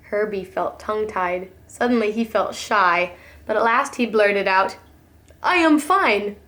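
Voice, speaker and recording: A woman preschool teacher reading a picture book aloud to young children in an animated, dramatic storytelling manner. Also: medium pitch, a little slow.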